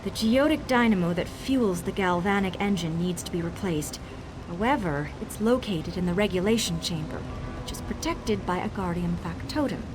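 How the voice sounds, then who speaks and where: A woman voicing a flustered but self-assured repair technician in a video game, brisk, defensive and insistent. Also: fairly low pitch, animated, music in the background, lightly acted. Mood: businesslike. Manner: defensive, brisk, covering doubt with confidence. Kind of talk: video game character dialogue.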